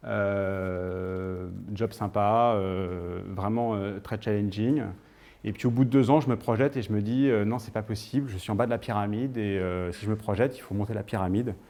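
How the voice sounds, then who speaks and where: A man's voice: on the low side, steady tone, moderate pace, dark tone. A man of thirty-nine, giving an informal personal talk to an audience in a hesitant storytelling manner. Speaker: guest speaker.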